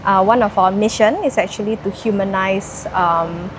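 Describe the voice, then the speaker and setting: A woman's voice: medium pitch, moderate pace. A woman from Indonesia, answering interview questions in a chatty, explanatory way. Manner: chatty, explanatory, peppers talk with "you know". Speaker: interview guest, business co-founder. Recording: noticeable background noise.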